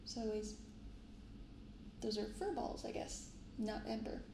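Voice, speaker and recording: A woman in her twenties speaking softly and gently in a casual, unhurried ASMR style as she hosts an unboxing. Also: on the high side. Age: 20s. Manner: soft-spoken, gentle, unhurried. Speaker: host of a card-opening ASMR channel. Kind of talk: soft-spoken ASMR, casual unboxing chat. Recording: roomy binaural recording with clear background noise.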